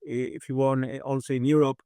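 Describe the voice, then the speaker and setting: A man from southern Spain with a Spanish accent, answering interview questions in a measured, thoughtful way with many hesitations. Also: mid-range voice, flat delivery, warm tone, clear, resonant tone, no echo, clean studio sound. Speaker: aerodynamics engineer, guest.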